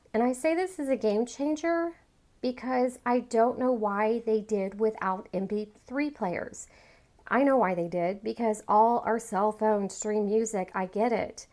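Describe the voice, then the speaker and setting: A woman's voice: a little high, darkish tone. Chatty, enthusiastic middle-aged woman. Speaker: product reviewer. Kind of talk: casual product review, showing the item.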